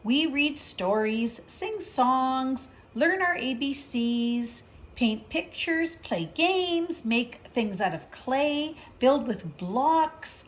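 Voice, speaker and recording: A woman's voice: high voice, animated, very slow, full character voice. A woman voicing a jolly, hearty female bear who teaches kindergarten in a children's storybook. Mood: cheerful, patient. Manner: hearty, welcoming, calling out.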